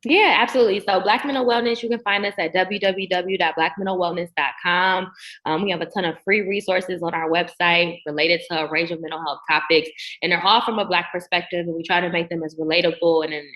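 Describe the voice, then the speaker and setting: A woman speaking as a guest psychologist on a podcast, with a Detroit accent, animated and upbeat in casual conversation. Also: lowish voice, brisk. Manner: animated, upbeat, laughs often.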